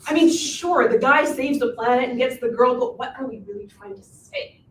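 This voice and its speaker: A woman acting a bossy, scheming, animated witch who insists on steering the story, in a live reading of a comic stage play. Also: sits high, moderate pace. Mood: brainstorming. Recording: distant, echoey room recording with hiss.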